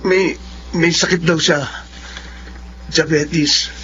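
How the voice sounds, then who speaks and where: A youngish, fairly high-voiced Filipino son, serious and guarded as a student seeking his father. His radio drama speech is hesitant, in a slightly dull recording with faint noise. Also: fairly even intonation, slow pace, bright, forceful tone.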